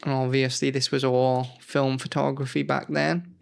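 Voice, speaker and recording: Unwell man with a gravelly, fry-laden voice, mid-pitched, leafing through old magazines and rambling to camera with plenty of self-corrections. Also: level tone, moderate pace. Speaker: gaming channel host. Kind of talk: casual nostalgic commentary.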